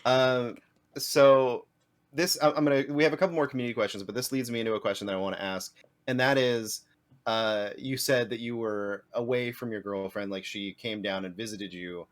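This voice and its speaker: A man hosting a casual chat, upbeat and quick to laugh. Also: mid-range voice. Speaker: host.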